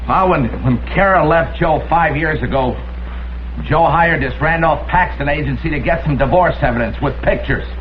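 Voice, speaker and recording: An actor playing a cornered small-time informant in an old crime drama, stammering and evasive in slangy speech. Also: male voice, a little high, moderate pace, natural voice. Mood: frightened, confessing. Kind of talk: mid-century crime drama acting. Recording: dull, noisy vintage broadcast audio.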